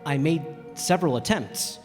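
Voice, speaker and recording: A man's voice: a little high, normal speed, darkish tone, lightly acted. A man playing a stranded pilot who narrates and talks in a radio drama, reflective but easily flustered. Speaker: narrator and character. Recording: long hall reverb, music behind.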